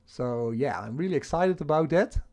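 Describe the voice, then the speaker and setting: Dutch-accented male streamer, slightly high voice, rambling and chatty. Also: animated, normal speed, a little mellow. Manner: rambling, restarts, chuckles, asks the chat. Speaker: livestream host. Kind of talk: casual livestream chat.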